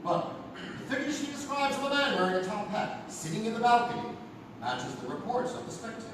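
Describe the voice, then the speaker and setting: A man's voice: extremely high pitch, very animated, fairly quick, noisy background. A man playing the solemn storyteller of a mystery anthology, narrating formally and theatrically in a live radio play. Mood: solemn, intriguing. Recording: echoey theatre hall from far off. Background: music.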